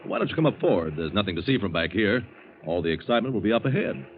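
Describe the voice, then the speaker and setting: An actor playing an easygoing, chatty first officer aboard a ship in a 1940s radio drama. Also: male voice, on the low side, big pitch swings, quick pace. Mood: casual, friendly. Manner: casual, friendly. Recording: muffled vintage radio broadcast.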